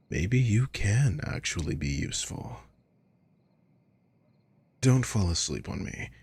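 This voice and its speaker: A man playing a sadistic villain in an ASMR roleplay, coolly menacing and mockingly caring, speaking in a breathy, deliberate, taunting way. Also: low-pitched, a little mellow, exaggerated voice. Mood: coaxing, stern. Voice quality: breathy, husky. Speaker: audio roleplay voice actor.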